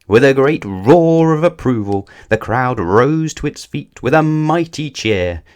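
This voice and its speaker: A man reading a children's picture book aloud as a storyteller, with deliberate, dramatic emphasis. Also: medium pitch, expressive, darkish tone. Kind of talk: expressive scripted children's story narration.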